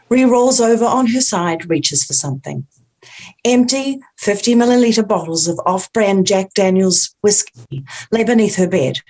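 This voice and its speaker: A woman's voice: medium pitch, darkish tone. A woman reading the stage directions at a script table read.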